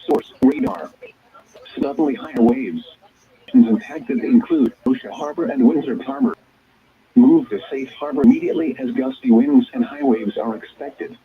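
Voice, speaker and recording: A man's voice: medium pitch, huge pitch swings, moderate pace, gravelly. A man's synthetic, stilted voice reading scripted weather alerts formally as a radio announcer, in choppy phrases. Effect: weather radio broadcast. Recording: tinny, narrow-band weather radio signal.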